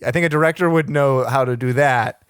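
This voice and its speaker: A man's voice: mid-range voice, fairly quick, fairly bright tone, smooth, no room sound, clean studio sound. A man hosting an improvised comedy conversation, politely interrupting with questions and nitpicks.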